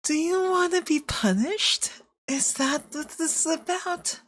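A woman voicing a possessive, manipulative young femboy yandere boyfriend in ASMR roleplay, soft, breathy and cloying, coaxing with veiled menace. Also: extremely high pitch, measured pace. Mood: menacing, demanding.

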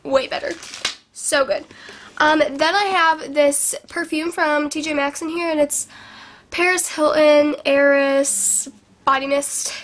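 Young woman vlogger, high-pitched, sick and sniffly, chatty and rambling, bedroom camera mic with faint noise. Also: steady tone, takes it slowly, a bit bright. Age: young adult. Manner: bubbly, rambling, lots of "like". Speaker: beauty vlogger. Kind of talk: casual chatty vlog. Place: small bedroom indoors. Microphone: camera in front of her at arm's length.

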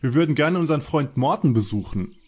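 A man playing an excitable, fretful teenage detective in an audio drama parody. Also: on the high side, fast. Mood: casual.

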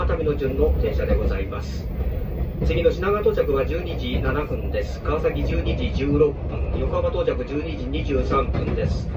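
A man's voice: medium pitch, recorded from a distance. A man working as a train conductor makes a formal, polite scripted announcement, reading out stops and times over a train's public-address speaker. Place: inside a moving train carriage. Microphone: handheld camera away from the PA speaker. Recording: muffled PA picked up from afar, loud train noise. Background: loud train running noise.

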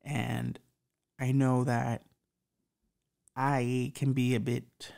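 Man, 30s, slight lisp, gravelly, profane, rambling, mid pitch. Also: flat delivery, extremely slow, darkish tone. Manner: profane, rambling, self-mocking. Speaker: podcast host. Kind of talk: rambling advice monologue.

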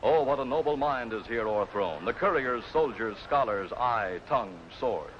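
Man, announcer, scripted declaimed reading, medium pitch, muffled vintage soundtrack. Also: animated, normal speed. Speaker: off-stage announcer. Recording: dull vintage TV soundtrack, faint noise.

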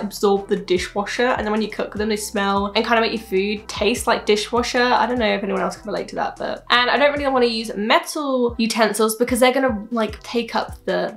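A young woman, chatting casually and rambling through a shopping haul from her living room sofa. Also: on the high side. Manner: bubbly, rambling, self-deprecating. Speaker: vlogger.

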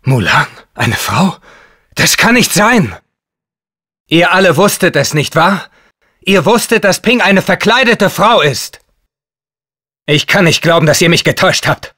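A man's voice: high voice, animated, a little slow, pauses often, bright, forceful tone, full character voice. A man voicing a stern, proud young army captain in a video game, with a commanding, clipped military delivery. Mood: indignant, stern.

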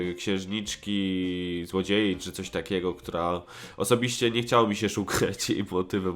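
A man giving a casual, rambling review, hesitant and slangy, often correcting himself. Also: low-pitched. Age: 20s. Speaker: host of a nostalgic cartoon review show. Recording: music playing behind the voice.

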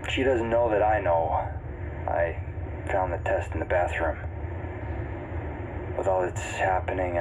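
A man's voice: deep voice, noisy background. A man acting a weary starship chief engineer, recording a reflective, subdued personal log in an audio drama.

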